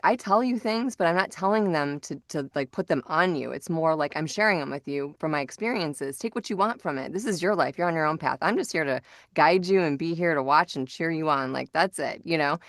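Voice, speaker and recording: A woman's voice: low-pitched, a little fast, no echo, clean studio sound. A middle-aged woman hosting a casual podcast, talking in a chatty, informal way. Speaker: podcast host.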